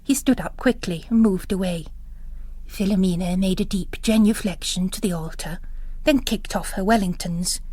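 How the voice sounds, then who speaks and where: A woman's voice: medium pitch, normal speed, mellow, no echo. A woman reading literary fiction as a narrator, in a dramatic audiobook style.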